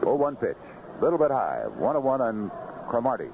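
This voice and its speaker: Animated American baseball announcer, vintage noisy radio. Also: male voice, mid-range voice, fairly quick, highs cut off. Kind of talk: live radio play-by-play commentary. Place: ballpark broadcast booth.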